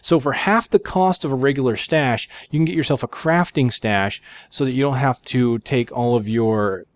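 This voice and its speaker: A man's voice: mid-range voice, normal speed, no room sound. A man hosting a gaming video, explaining things casually and in an upbeat way as he plays. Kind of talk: casual game preview commentary. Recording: dry, slightly dull microphone.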